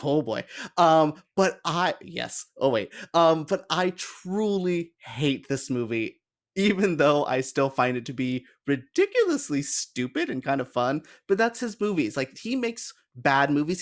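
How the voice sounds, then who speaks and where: A man's voice: fairly high pitch, big pitch swings, measured pace. A man in his thirties chatting casually and with strong opinions as a podcast panelist, often interrupting himself. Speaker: podcast panelist discussing bad films.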